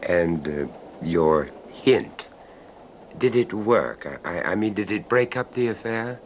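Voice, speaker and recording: Deep-voiced, probing storyteller. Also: male voice, lively intonation. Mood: uneasy curiosity. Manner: probing, deliberate, dramatic. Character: attentive male train passenger, listener and storyteller. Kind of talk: 1970s radio drama acting and narration. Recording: noisy 1970s radio drama recording.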